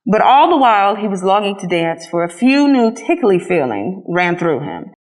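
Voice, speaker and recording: Woman narrating a children's storybook, mid-pitched. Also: moderate pace. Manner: expressive storytelling. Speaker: storybook reader.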